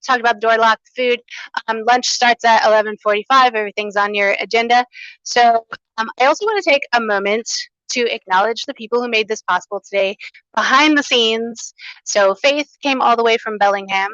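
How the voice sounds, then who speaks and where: A woman with a fairly high, loud voice hosts a conference, running through welcomes and housekeeping with eager enthusiasm. She speaks without a microphone in a small room. The sound is dry and a little dull. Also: a little fast, no echo. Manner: upbeat, enthusiastic, loud unamplified voice. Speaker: conference coordinator and host. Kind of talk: welcoming remarks and housekeeping announcements. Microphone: no microphone, room recording.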